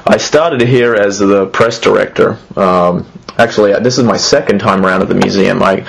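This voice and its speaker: Gravelly low-voiced man in his thirties. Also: moderate pace. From Australia. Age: 30s. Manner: hesitant, many fillers and restarts. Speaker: museum executive, interviewee. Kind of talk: casual interview answers.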